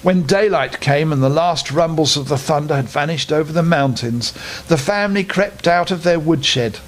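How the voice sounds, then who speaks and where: An older man reading a children's bedtime story aloud in an expressive, unhurried, dramatic storytelling manner. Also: a little high.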